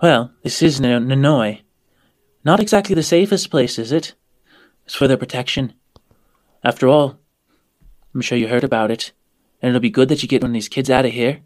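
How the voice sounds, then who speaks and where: A man voicing a brisk, polite woman who runs a guarded orphanage in a tabletop game, speaking in a composed, formal, coaxing way. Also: mid-range voice, lightly acted. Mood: defensive, polite.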